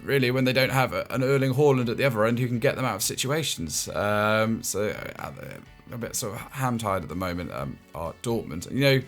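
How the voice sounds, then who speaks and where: A man hosting a casual football analysis chat, often restarting his words and chuckling between points. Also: mid-range voice. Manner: stammering restarts and chuckling asides. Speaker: football show host.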